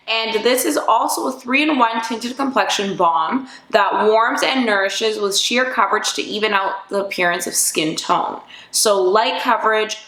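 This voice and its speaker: Bubbly, chatty woman with a raspy voice. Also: mid-range voice, fairly bright tone. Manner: bubbly and chatty, full of fillers. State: raspy voice after just waking up. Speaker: beauty vlogger. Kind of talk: casual makeup review. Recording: echoey room.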